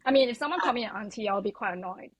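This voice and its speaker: A woman's voice: mid-range voice, very fast, crowd noise. A woman guest on a podcast, chatting casually and filling her talk with "like" and "you know". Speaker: podcast guest, communications consultant. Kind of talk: casual interview chat.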